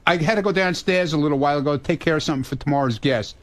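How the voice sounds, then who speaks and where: A man's voice: fairly high pitch, racing. A man with a New York accent talking casually on a radio show, blunt, grumbling and profane.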